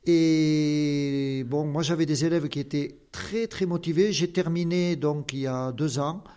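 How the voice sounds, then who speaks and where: A man's voice: a little high, steady tone, a little slow, mellow. An older man with a southern Occitan accent, a guest answering interview questions in an earnest, explanatory way with many fillers. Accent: Occitan southern French.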